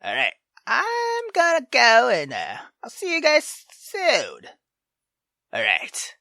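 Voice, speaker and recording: Bright, swooping, rambling gaming host. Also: medium pitch, huge pitch swings, slow pace, vocal fry, no echo, clean studio sound. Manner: hesitant, rambling, sweary. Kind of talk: casual let's play commentary with reading aloud.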